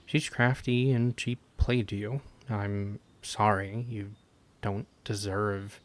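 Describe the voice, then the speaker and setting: A man playing a caring, teasing adventurer who comforts a party member, in a gentle, halting, conversational amateur audio roleplay. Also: on the low side, flat delivery. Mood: earnest, comforting.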